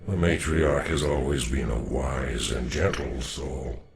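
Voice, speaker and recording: An actor playing a solemn, noble alien dark warrior in a video game, speaking formally in a gravelly voice. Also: male voice, exaggerated voice. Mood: grave, steady. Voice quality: very deep, gravelly. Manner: slow, formal, measured. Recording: loud music behind the voice. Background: loud game music.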